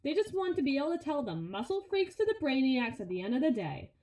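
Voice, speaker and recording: A woman plays a brawny henchwoman for a villain, speaking in a highish female voice. She is sardonic and conversational, grumbling and teasing as if to a partner at her side. It is an intimate audio roleplay, recorded with a clearly echoing, roomy sound. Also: big pitch swings, fast talker, a little mellow. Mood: mock-indignant. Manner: sarcastic, conversational, dramatic sighs and grumbles. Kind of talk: ASMR audio roleplay, intimate one-sided dialogue.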